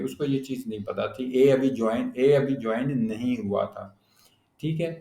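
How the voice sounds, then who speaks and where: A man with a Pakistani accent giving an explanatory lecture in a halting manner, often pausing to check that listeners follow. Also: mid-range voice, darkish tone. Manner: halting, with frequent check-in tags. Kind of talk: explanatory law lecture, Urdu mixed with English terms. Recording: roomy, echoing sound.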